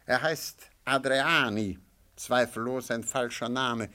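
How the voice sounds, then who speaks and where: Radio drama: an unruffled amateur detective, measured, courteous, ironic, mid-pitched. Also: male voice, sharp tone. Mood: quietly triumphant. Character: shrewd, unruffled amateur sleuth, quietly ironic man. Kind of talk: radio play dialogue.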